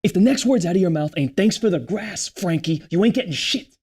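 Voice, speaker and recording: Man playing a slick, animated street dealer. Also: high-pitched, a little fast. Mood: curt, threatening. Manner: slangy hustler patter, gruffly sarcastic. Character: slick, irritable male street weed dealer. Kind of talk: table read acting.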